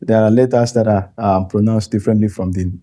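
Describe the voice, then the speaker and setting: A Nigerian man with a low, mellow voice, his first language Yoruba. He talks as an interview guest, thoughtful and halting. He restarts his phrases and chuckles often as he explains. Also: steady tone. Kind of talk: interview conversation.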